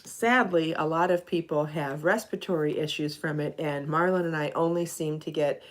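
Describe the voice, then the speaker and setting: A woman's voice: low voice, fairly even intonation, normal speed. A middle-aged woman, slightly stuffy from allergies, chatting casually on a live podcast and greeting viewers by name. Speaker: knitting and crochet designer hosting a live podcast.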